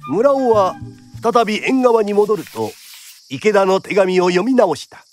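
Male narrator for period radio drama; high voice, theatrical storytelling with very varied intonation. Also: lively intonation, measured pace, a little mellow, faint music behind.

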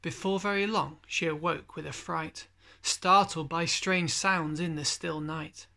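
A man in his thirties reads a children's story at home. His voice is forward and bright, slightly high, with plenty of creak. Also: steady tone, unhurried. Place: indoors at home by a window.

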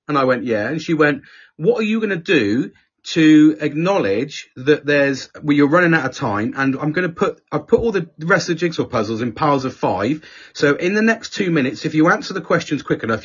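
A middle-aged man with a husky, fairly high voice tells a story to a podcast co-host. His talk is loose and sweary, and he slips into voices for the people he quotes. The recording sounds dull and lo-fi. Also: a bit bright. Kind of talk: casual storytelling chat.

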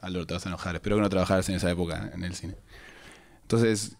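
A man's voice: deep voice, fairly even intonation, racing, creaky. A Uruguayan man, rambling through a self-deprecating anecdote at a cinema press conference, speaking into a stand mic. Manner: rambling, self-deprecating storyteller with many restarts. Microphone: handheld mic on a stand.

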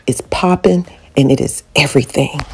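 A woman's voice: low voice, normal speed, darkish tone, husky. A woman, narrating her home renovation in a chatty, encouraging way and giving how-to tips. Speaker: DIY home vlogger. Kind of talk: casual voice-over narration.

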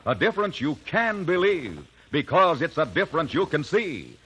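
A man's voice: fairly high pitch, very animated, normal speed, bright, forceful tone, husky, low-quality recording. An American man reading a scripted 1950s radio advertisement as announcer. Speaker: commercial announcer.

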